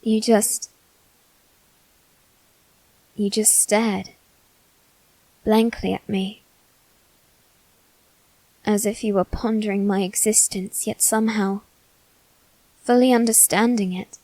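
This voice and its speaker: A woman plays a proud, self-loathing young nobleman, a boy with a medium-pitched voice in an ASMR character drama. He speaks slowly and haltingly, with formal, theatrical phrasing. The sound is dry and free of room echo. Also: steady tone, a little mellow. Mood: wistful, tender. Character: proud, wounded, self-loathing aristocratic boy facing his demon.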